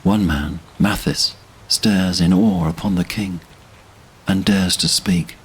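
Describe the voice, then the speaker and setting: A man narrating a literary fantasy audio drama in a solemn, measured, dramatic way. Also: deep voice, fairly even intonation, husky, low-quality recording.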